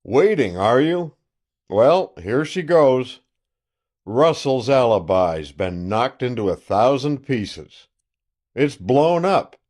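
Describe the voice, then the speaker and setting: A loud, self-satisfied sheriff, as a male reader puts him on, booms out his boasts in a raised voice that swings widely in pitch. He sounds blustering and triumphant, an old-fashioned lawman in an audiobook. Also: sing-song, slow. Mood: boastful.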